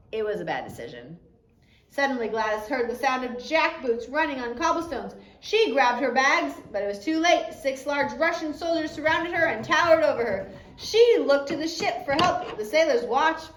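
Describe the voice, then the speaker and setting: A woman reading a biography aloud as an audiobook narrator, with an expressive, deliberate storytelling delivery. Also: sits high. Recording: roomy echo with faint background noise.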